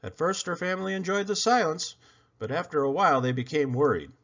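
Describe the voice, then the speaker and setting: A man narrating a children's storybook aloud in an expressive read-aloud style. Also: on the high side, a little fast, dull audio. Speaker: storybook reader.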